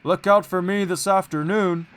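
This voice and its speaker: A man voicing a big, proud, boastful express steam engine in a children's storybook, pompous, loud and emphatic. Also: sits high, fairly even intonation, normal speed, clean tone, pitched above the performer's own voice.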